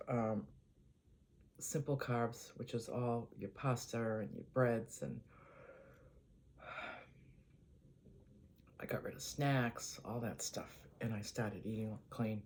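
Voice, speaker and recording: An older woman with a Boston accent, chatting casually to her channel in an easygoing, rambling way, often letting her voice trail off quietly. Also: rumbling low. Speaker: vlogger. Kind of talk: casual chat to camera.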